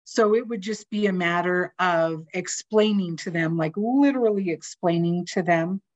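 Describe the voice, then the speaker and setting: A woman's voice: lowish voice, normal speed, a little mellow. A woman from a home retrofit program talking in a chatty, informal way on a panel. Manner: chatty, informal, many fillers. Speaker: seismic retrofit program staff. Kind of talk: panel discussion.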